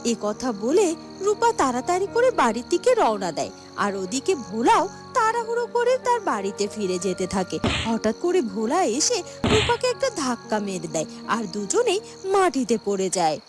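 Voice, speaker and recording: A woman's voice: on the high side, wildly swooping pitch, normal speed. A woman reading scripted narration as the storyteller of a cartoon tale. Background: music.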